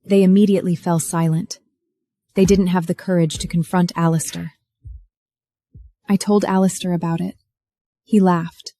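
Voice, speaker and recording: A woman's voice: fairly low pitch, little pitch movement, normal speed, pauses often, mellow, dry recording, clean studio sound, natural voice. A woman acting a cool, defiant heiress who narrates her own revenge story in a scripted first-person drama. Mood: measured, tense. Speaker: narrator and lead of an audio drama.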